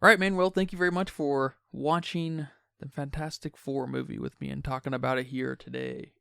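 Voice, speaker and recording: A man's voice: medium pitch, steady tone, fairly quick, creaky, bright, forceful tone, smooth, no echo, clean studio sound. A man hosting a podcast, casually discussing a film and addressing his listeners. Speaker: podcast host.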